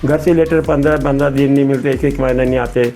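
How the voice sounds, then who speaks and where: Creaky, bright-voiced elderly man reminiscing. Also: medium pitch, fairly even intonation, fast, faint music behind. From Betul, central India. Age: 80s. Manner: rambling, repeats words, acts out remembered dialogue. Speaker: retired army veteran, interview guest. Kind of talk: conversational personal reminiscence.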